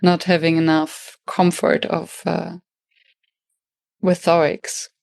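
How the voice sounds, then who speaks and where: A woman with a German accent, a native German speaker, hosting an interview in English. She talks quickly and reflectively and restarts phrases as she thinks aloud. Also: lowish voice, level tone, gravelly, darkish tone, dry recording. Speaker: podcast host and facilitation trainer. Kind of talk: conversational interview.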